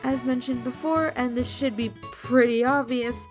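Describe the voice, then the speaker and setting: A woman's voice: on the high side, steady tone, measured pace. A woman YouTuber telling a personal story in a playful, self-deprecating way. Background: clear guitar music.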